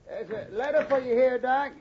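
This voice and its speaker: High-pitched, folksy American man. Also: normal speed. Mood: curious, impressed. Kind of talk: 1940s radio drama acting. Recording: muffled, noisy vintage radio.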